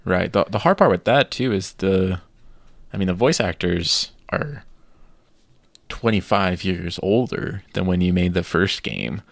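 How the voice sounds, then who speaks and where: A man co-hosting a podcast in casual chat, giving opinions with halting restarts. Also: low voice, lively intonation, creaky, dry recording. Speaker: podcast co-host.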